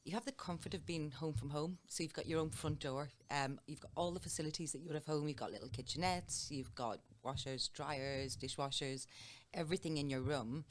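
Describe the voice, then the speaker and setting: A middle-aged woman, a hotel sales manager, calmly explaining her work as an interview guest, often listing examples. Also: deep voice, brisk, a little hiss or hum. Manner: plain, steady explaining with listed examples.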